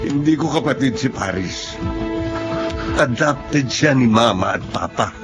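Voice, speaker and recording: A brazen, possessive love rival in a radio melodrama. His mid-range voice moves up and down in a lively, combative way. Music sits clearly behind him. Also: medium pitch, unhurried. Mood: defiant. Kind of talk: Tagalog radio drama acting.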